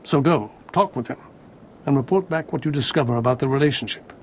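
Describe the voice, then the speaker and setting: An actor playing a stern, suspicious Jedi master in a video game, formal, pompous and moralizing. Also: male voice, medium pitch, fairly quick, slight background noise, natural voice. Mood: grave, admonishing.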